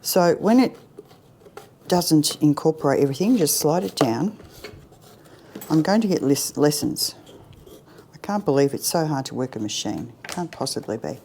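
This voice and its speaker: A middle-aged woman chatting her way through a baking tutorial in her home kitchen, self-deprecating and often dropping to a soft near-whisper. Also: deep voice, animated, moderate pace, vocal fry, long pauses, a little hiss or hum. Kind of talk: casual cooking demonstration.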